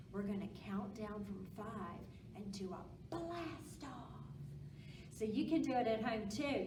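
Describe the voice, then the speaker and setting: A woman presenting a storytime for young children and reading aloud, speaking simply and encouragingly. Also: medium pitch. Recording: roomy echo, distant mic, background noise.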